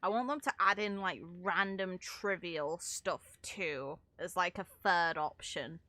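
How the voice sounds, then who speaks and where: A woman game streamer giving casual, animated, unscripted reactions in informal, sweary language. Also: lowish voice, slow, no echo.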